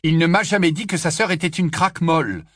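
A man voicing an unsettled teenage boy who asks quick, urgent questions. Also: on the high side, fairly bright tone, no room sound, clean studio sound, voice pushed up. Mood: uneasy.

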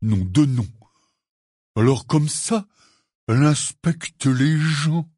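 A man acts out a huge, hearty gamekeeper from a storybook. The voice is rough and husky, with a slow, blustery drawl that swings widely in pitch. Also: expressive, darkish tone, no echo, studio quality. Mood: low, indignant. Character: big, hearty, stubborn, good-natured gamekeeper teacher.

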